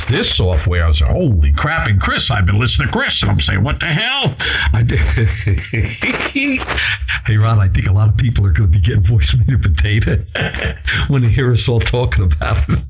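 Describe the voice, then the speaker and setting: A man's voice: fairly low pitch, very animated, normal speed, slightly rough, phone-quality sound. A man chatting casually over amateur radio, rambling along with mildly salty exclamations, through a radio transmission. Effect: ham radio transmission. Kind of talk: casual on-air radio chat. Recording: band-limited ham radio transmission.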